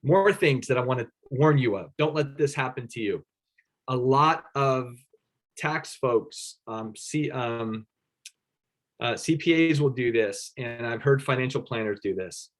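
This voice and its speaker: A man with a Pittsburgh-area American accent gives a casual networking workshop. He talks conversationally in a middle pitch, often checking in with the audience and pausing on "um" and "uh.". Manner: conversational, checks in with "right?", frequent fillers. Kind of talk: casual instructional talk.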